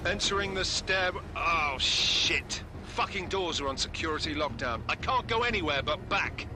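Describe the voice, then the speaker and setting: A gruff male squad operative played by a game actor talks in a fairly high, very animated voice. Noise is clearly heard behind him. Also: normal speed, close to an everyday voice. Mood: frustrated. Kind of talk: video game cutscene acting.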